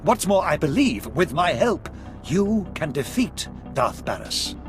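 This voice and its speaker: An actor playing a genteel, scheming Sith lord in a video game, speaking in a formal, courtly and theatrical way. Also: male voice, on the high side, sing-song, unhurried, music in the background. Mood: warmly impressed. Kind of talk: video game cutscene acting.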